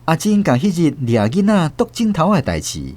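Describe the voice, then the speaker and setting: A man's voice: fairly high pitch, wildly swooping pitch, moderate pace, a little mellow. A man with a Taiwanese Hokkien accent narrating a radio drama as a storyteller. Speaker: host and narrator.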